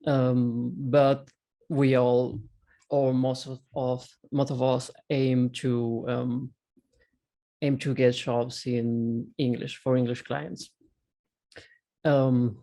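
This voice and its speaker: A man from Argentina speaks English with an Argentine Spanish accent, his first language being Spanish. He talks as a panelist in a loose, unscripted way, pausing on fillers and restarting his sentences as he searches for words. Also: medium pitch, dark tone. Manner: halting, many fillers and restarts. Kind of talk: casual panel talk.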